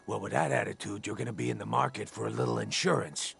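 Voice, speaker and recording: Very gravelly street thug. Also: male voice, fairly low pitch, fast talker, a bit bright, soft background music, full character voice. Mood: sneering, menacing. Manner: taunting, slangy tough-guy talk. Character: sneering male street thug, small-time extortionist. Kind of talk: video game voice acting.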